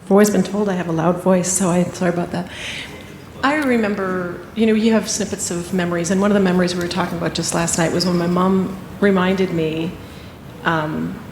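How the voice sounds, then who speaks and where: A woman's voice: on the low side, fairly even intonation, a little fast, darkish tone. A woman on a panel, reflecting on her family in a personal way and sometimes searching for words. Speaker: panelist, parent of a caregiving child. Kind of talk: panel discussion. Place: conference hall. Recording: echoey hall with faint room noise.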